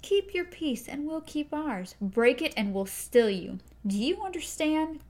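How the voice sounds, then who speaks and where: A stern young gunslinger, cold and threatening, as a woman reading aloud puts him on. He lays down slow, grave warnings in a storybook reading. The voice stays close to the reader's own. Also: high voice, big pitch swings, darkish tone, pitched above the performer's own voice, exaggerated voice.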